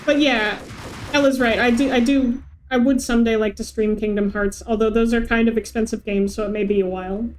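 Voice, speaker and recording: A woman's voice: fairly high pitch, steady tone, fairly quick, darkish tone, a little hiss or hum. A woman chatting casually as she hosts a livestream of a video game. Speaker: game streamer, host. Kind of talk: casual livestream chat over gameplay.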